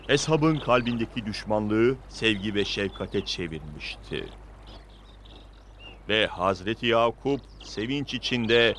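A man narrating a religious cartoon story in a solemn, measured way. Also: lowish voice, fairly bright tone, a little hiss or hum.

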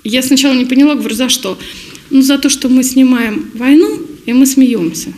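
A middle-aged woman, a teacher, telling an anecdote in an interview with a halting delivery. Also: high voice, darkish tone. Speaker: children's art teacher. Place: assembly room. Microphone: handheld reporter's microphone.

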